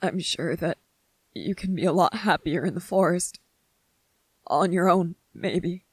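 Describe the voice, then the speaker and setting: A performer playing a gentle young male soldier in an intimate ASMR roleplay, speaking tenderly and haltingly with vulnerable, earnest emotion. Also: mid-range voice, husky, no room sound. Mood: sad, resigned.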